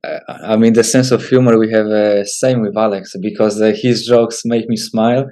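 Low-pitched Polish man, halting English. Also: level tone, warm tone. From Poland. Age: 20s. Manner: hesitant, halting non-fluent English. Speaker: professional footballer, interview guest. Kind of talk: casual interview answers.

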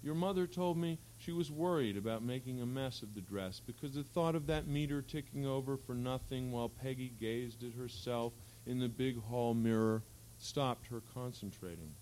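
A man's voice: mid-range voice, moderate pace, hardly any pauses, a little mellow, poor audio. Man reading fiction aloud, faint hum behind. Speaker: reader at a literary event. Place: live public reading at an event. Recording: faint hum and background noise.

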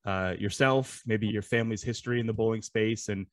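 A man's voice: lowish voice, fairly even intonation, fairly quick, no echo, studio quality. A man hosting an interview podcast, asking questions and answering with frequent affirming murmurs. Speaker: podcast host. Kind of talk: casual interview.

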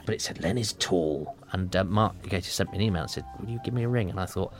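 Fast-talking deep-voiced man, wry. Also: faint music behind. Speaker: actor guest. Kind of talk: anecdote with quoted dialogue.

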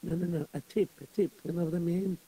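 An actor playing a gossipy, sighing male synagogue caretaker with a husky voice. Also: a little high, fairly even intonation, fast, dark tone, low-quality recording. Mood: fussy. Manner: sighing, gossiping, fussing over tips.

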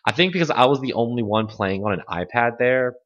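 A man's voice: mid-range voice, lively intonation, brisk, fairly bright tone. A man co-hosting a podcast, chatting casually with lots of fillers and the occasional laugh. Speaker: podcast co-host. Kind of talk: casual chat. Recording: dull lo-fi audio.

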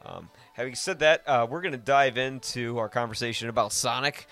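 A man hosting a podcast in a casual, rambling way, often halting and correcting himself. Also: medium pitch, fairly bright tone. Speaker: podcast host.

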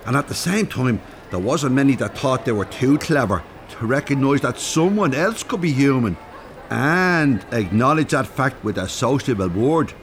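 A man's voice: mid-range voice, expressive, moderate pace, natural voice. An actor playing a chatty, nosy, thin-skinned older working-class Dublin man in a radio drama, rambling and familiar. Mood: musing, frank. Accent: Dublin Irish. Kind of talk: naturalistic radio drama acting. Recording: urban ambience, faint background noise. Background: shopping centre ambience.

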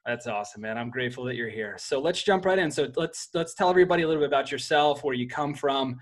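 A man's voice: mid-range voice, animated, fast. A man hosting a podcast interview, casual and enthusiastic, calling his guest "man" and "bro". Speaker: podcast host.

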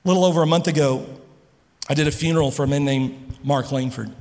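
A man's voice: a little high, a little mellow. Male pastor, earnest emphatic sermon delivery, big reverberant church hall. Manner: earnest, emphatic preaching with dramatic pauses.

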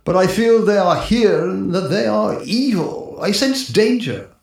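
Solemn ghost hunter, high-pitched, echoing. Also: male voice, expressive, creaky, recorded from a distance, exaggerated voice. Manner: formal, halting, solemn. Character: grave, pompous male ghost hunter doctor, sensitive and foreboding. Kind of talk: 1940s radio acting. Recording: echoing 1940s radio recording, walkie-talkie from afar.

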